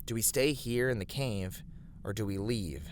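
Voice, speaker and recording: A man playing a steady, righteous fantasy adventurer in an ASMR roleplay, speaking to a companion in measured, slightly formal, encouraging words. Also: on the low side, background noise, natural voice. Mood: thoughtful, deliberative. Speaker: voice actor in a roleplay. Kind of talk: scripted ASMR fantasy roleplay addressed to the listener.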